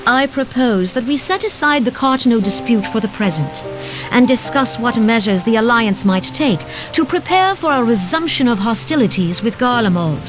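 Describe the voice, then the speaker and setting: A woman voicing a poised, formal stateswoman in a fantasy video game, speaking in measured, stately and archaic phrases. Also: on the high side, expressive, music in the background, lightly acted. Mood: resolute, persuasive.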